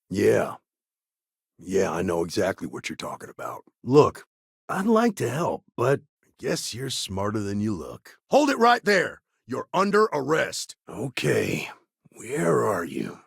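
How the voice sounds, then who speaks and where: Streetwise frontier lawman, American accent, gravelly and husky, close dry sound, plain-spoken, guarded, video game dialogue. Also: mid-range voice, dry recording, exaggerated voice. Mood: easygoing, dry, then firm, commanding. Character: gruff, streetwise frontier lawman, wary but fair.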